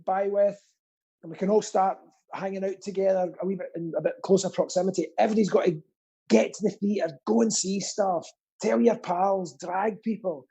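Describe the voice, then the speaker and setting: A man's voice: sits high, fairly even intonation, normal speed, creaky, dark tone, slightly rough. A man chatting casually as a guest, rambling and earnest, with a self-deprecating streak. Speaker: guest, pantomime actor and theatre stagehand. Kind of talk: casual chat.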